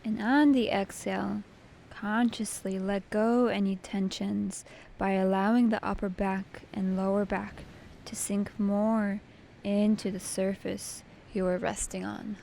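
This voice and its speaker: A woman leading a guided meditation with gentle, soothing, unhurried instruction. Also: mid-range voice, flat delivery, creaky, a little hiss or hum.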